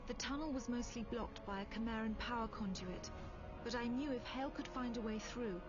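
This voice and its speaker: Actress as British female military officer, game narrator: composed, grave and measured, slightly muffled audio. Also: a little high, natural voice. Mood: measured, serious. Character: composed British female intelligence officer recounting a grim war. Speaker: story narrator. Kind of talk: scripted dramatic narration. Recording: dull lo-fi game audio, music behind. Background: loud background music.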